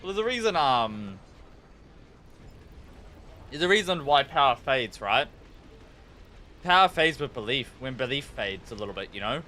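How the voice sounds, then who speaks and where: A male game streamer with a New Zealand accent and a bright, forceful voice of middling pitch. He talks in a loose, informal, rambling way with plenty of swearing. A faint layer of noise sits behind him. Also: big pitch swings, unhurried, pauses often. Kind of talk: casual livestream chat while gaming.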